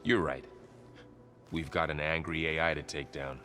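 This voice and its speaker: An actor playing a loyal, hopeful young warrior in a video game, soft-spoken and thoughtful. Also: male voice, a bass voice, little pitch movement, normal speed. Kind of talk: naturalistic cinematic video game acting. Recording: game dialogue over music.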